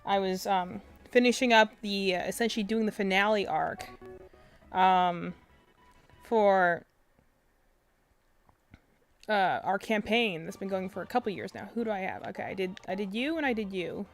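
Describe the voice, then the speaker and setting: Young woman streamer, soft and quiet, rambly filler-heavy chat, faint music behind. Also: medium pitch, moderate pace, long pauses. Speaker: game streamer. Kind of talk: casual live-stream chat. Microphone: desk mic close to face.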